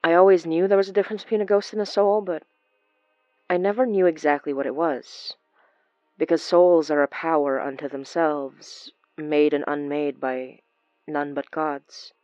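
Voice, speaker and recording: An actress plays a wry, gentle young woman in an audio drama. Her voice sits fairly low and is recorded dry and a little muffled, with music underneath. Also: fairly even intonation, moderate pace, dry recording, natural voice. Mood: thoughtful. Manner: playful, thoughtful, conversational.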